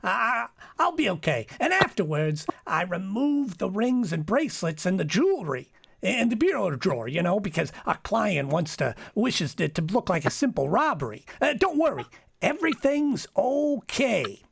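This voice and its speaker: Creepy hired killer, creaky, dry close sound, stammering, giggly, eager, radio thriller. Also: male voice, high-pitched, very animated, normal speed, a bit bright, no room sound, full character voice. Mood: sinister, eager. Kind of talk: old-time radio thriller acting.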